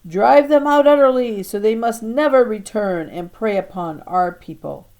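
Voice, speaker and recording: A woman's voice: fairly high pitch, lively intonation, moderate pace, darkish tone, voice pushed up. A woman reader voicing a young girl who plays a brave queen, speaking in grand, theatrical, old-fashioned phrases for a storybook. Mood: triumphant, commanding. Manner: theatrical, grand archaic speech. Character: young girl playing a brave, regal make-believe queen.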